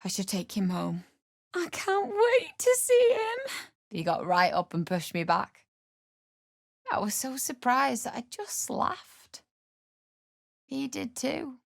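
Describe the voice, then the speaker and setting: Distraught young widow, high-pitched. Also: female voice, very animated, takes it slowly, gravelly, pauses often, no echo, studio quality, exaggerated voice. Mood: weary, quiet. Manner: emotional, pleading, earnest. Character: distraught young pregnant widow, desperate, grieving, defiant. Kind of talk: video game voice acting.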